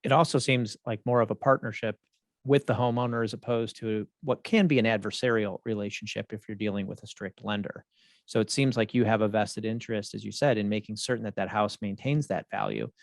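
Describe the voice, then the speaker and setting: A man's voice: on the low side, fairly even intonation, gravelly, no room sound. Dry-sounding male podcast host. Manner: measured, reads intros and disclaimers. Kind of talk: podcast hosting.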